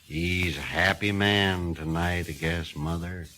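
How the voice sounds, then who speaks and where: A man playing an easygoing older small-town husband who speaks in a relaxed, folksy drawl. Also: deep voice, slow, pitched below the performer's own voice, full character voice. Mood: content.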